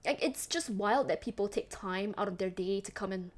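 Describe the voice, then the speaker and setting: A young woman from Singapore with a softened Singaporean accent, chatting casually with her stream chat, rambling with lots of fillers and laughs. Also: mid-range voice, normal speed. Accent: Singaporean, softened toward Western English.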